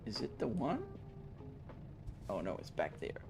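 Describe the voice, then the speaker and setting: A man's voice: mid-range voice, wildly swooping pitch, measured pace, vocal fry, over music. Man in his forties streaming a drive. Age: 40s. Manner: casual, talks to chat, explains his setup. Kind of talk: live-stream chat while driving.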